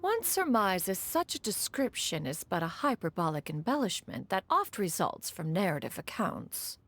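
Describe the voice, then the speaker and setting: A woman's voice: lowish voice, big pitch swings, normal speed, fairly bright tone, a little hiss or hum. A woman voicing a haughty, refined immortal spirit in an anime video game, with pompous, archaic formality. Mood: pedantic. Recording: game music behind the voice.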